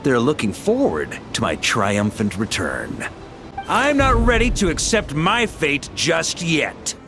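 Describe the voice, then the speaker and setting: An actor playing a hearty, cheeky knight grandmaster in a fantasy game, with confident theatrical delivery. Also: male voice, a little high, huge pitch swings, slow, heavily acted. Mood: amused, defiant. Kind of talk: dramatic video game voice acting. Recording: clear dramatic music behind.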